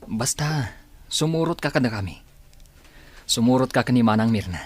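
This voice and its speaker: An actor playing a hesitant, earnest man in an Ilocano village radio drama, with a husky voice. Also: mid-range voice, expressive, moderate pace, natural voice. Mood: urging.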